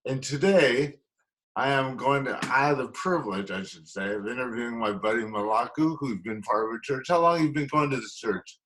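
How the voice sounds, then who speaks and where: A man's voice: medium pitch, a little fast, a bit bright, low-quality recording. Jokey male pastor hosting a podcast. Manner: jokey, chatty, with laughs. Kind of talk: podcast interview.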